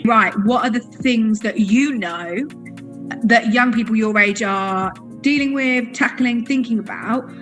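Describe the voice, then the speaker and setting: A woman's voice: a little high, measured pace, a bit bright, faint music behind, poor audio. A woman giving an informal, reflective explanation as a theatre education practitioner.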